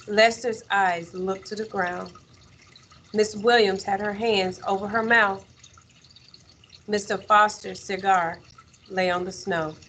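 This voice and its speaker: A woman teacher reading a children's story aloud in an expressive, measured way, with dramatic pauses. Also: mid-range voice, slight background noise, rough recording.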